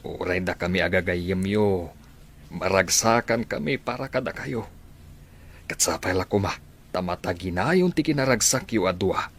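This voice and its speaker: An actor with a Filipino accent playing a supportive, teasing older best friend in a radio drama, chummy and conversational. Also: male voice, sits low, expressive, normal speed, a bit bright, full character voice.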